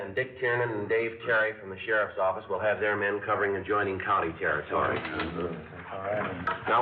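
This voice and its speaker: Man playing a steady, clipped police sergeant for an old radio drama, very low voice, thin distant broadcast sound with music. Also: roomy sound, narrow, tinny audio, a little hiss or hum, natural voice. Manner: clipped, matter-of-fact delivery. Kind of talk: 1950s radio drama acting with narration.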